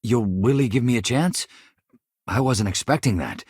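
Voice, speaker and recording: A man's voice: mid-range voice, moderate pace, a little mellow, husky, dry recording, clean studio sound, natural voice. A man voicing an earnest, anxious space engineer in a video game, a shaken survivor who speaks politely and hesitantly. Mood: polite, grateful.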